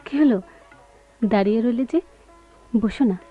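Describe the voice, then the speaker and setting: A woman acting a fond, apologetic young woman in a radio play, coaxing softly, with sitar behind her on a lo-fi recording. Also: a little high, moderate pace, close to an everyday voice. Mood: coaxing, contrite. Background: sitar music.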